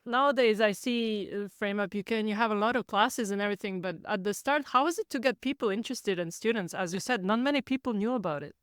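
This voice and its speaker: A woman from Denmark hosting an interview podcast, asking friendly, measured questions. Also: medium pitch, smooth, dry recording, clean studio sound. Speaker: podcast host.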